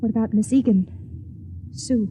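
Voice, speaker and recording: An actress playing an anxious, desperate young wife in a 1950s radio drama, speaking hesitantly and wistfully. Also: female voice, a little high, warm tone, slight background noise, lightly acted. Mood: resigned, anguished. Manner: hesitant, halting. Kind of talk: 1950s radio drama acting, with second-person narration. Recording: tinny 1950s radio recording, music behind.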